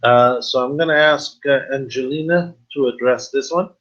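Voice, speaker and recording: A man in his fifties hosting a webinar on a video call, informal and halting as he reads and answers viewer questions, switching between languages. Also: mid-range voice, fairly bright tone. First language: not Spanish, though speaking it at times. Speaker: webinar host. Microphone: video call, computer microphone. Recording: slightly dull video-call audio.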